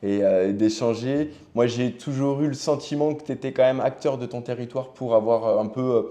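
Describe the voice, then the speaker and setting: Mellow-voiced man hosting a casual interview. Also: mid-range voice, a little fast. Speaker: podcast host.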